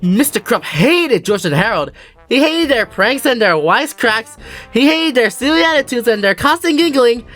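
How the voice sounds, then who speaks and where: A woman's voice: fairly high pitch, expressive, moderate pace, a bit bright, soft background music. A woman narrating a children's story for a fan dub with playful emphasis.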